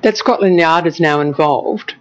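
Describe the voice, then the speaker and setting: A woman's voice: sits low, normal speed. Australian woman interviewer, dull band-limited sound. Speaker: television journalist interviewing. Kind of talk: broadcast news interview.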